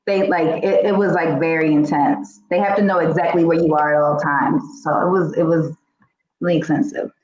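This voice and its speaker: A woman's voice: low voice, steady tone, a little fast, darkish tone, roomy sound, muffled recording, a little hiss or hum. A woman guest in a casual group chat, talking chattily with lots of fillers and frequent laughs. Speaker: podcast guest.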